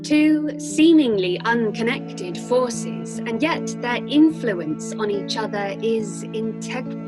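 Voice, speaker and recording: A woman performing poetic audio theatre with measured, deliberate delivery. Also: on the high side, rough recording. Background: guitar music.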